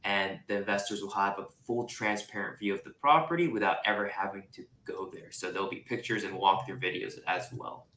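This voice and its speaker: Bright-voiced young man, roomy sound. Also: sits low, a little fast, few pauses. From Japan, raised in Singapore. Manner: relaxed, chatty, many "like" fillers, laughs easily. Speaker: real estate investor guest. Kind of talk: casual interview.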